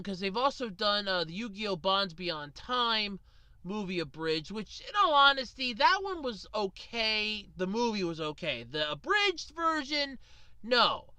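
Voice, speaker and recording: A woman's voice: medium pitch, sharp tone, no room sound. A woman delivering an opinionated rant to viewers in an exasperated, sarcastic and emphatic manner. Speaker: video ranter.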